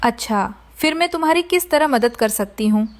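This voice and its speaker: A kindly fairy played by a woman for a children's cartoon. Her voice is high, caring and ready to help. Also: expressive, normal speed, close to an everyday voice.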